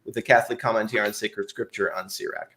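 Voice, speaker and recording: A man's voice: fairly low pitch, steady tone, sharp tone, a little hiss or hum. A man who is a scripture scholar, talking as a guest on an interview and correcting himself as he goes. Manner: quick and self-correcting. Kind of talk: casual interview chat.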